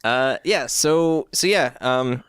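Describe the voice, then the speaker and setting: A man co-hosting a casual podcast, easygoing and quick to laugh. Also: medium pitch, a bit bright, clear, resonant tone, no echo, studio quality. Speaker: podcast co-host. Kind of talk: casual chat.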